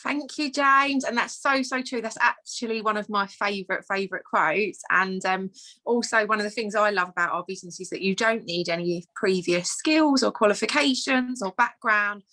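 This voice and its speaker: A woman's voice: mid-range voice, moderate pace, pushed and bright, rough recording. A woman hosting an online business call, upbeat and gushing as she introduces speakers. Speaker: host.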